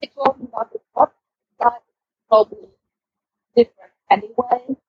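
A woman's voice: fairly high pitch, steady tone, normal speed, slightly rough, dry recording, muffled recording. A woman co-hosting a podcast, chatting casually in hesitant, broken phrases. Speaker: podcast co-host. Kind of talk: casual chat.

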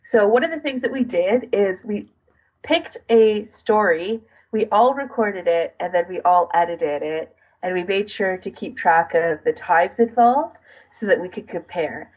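Slightly husky woman podcast host. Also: medium pitch, expressive, measured pace, a little mellow, highs cut off. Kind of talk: casual explanatory podcast chat.

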